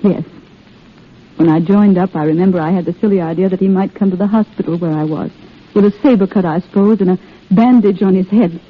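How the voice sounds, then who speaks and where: An actress playing a guarded, wistful young wartime nurse falling in love, in 1930s radio drama style. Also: female voice, on the low side, fairly quick, slightly rough. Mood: wistful, grieving. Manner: hesitant, wistful, earnest. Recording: muffled tinny old radio recording, faint music.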